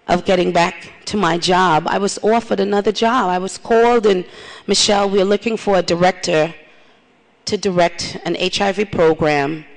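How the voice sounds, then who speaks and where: A woman's voice: lowish voice, vocal fry. A middle-aged woman from Trinidad giving an earnest, testimonial conference speech with repeated phrases. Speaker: conference speaker. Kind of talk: plenary speech. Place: large conference hall. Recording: reverberant hall.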